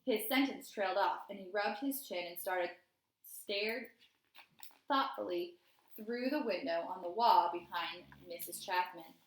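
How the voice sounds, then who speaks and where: A woman's voice: mid-range voice, a little slow. Echoey read-aloud from a woman storyteller. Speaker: teacher reading a children's novel aloud. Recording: roomy, echoey indoor sound.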